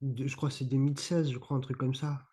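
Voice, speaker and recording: A man's voice: medium pitch, flat delivery, quick pace, dark tone, slightly rough. A middle-aged man from Provence, a guest talking casually in an interview, hesitant with many fillers and restarts. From Provence, France. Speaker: guest, YouTuber on esotericism.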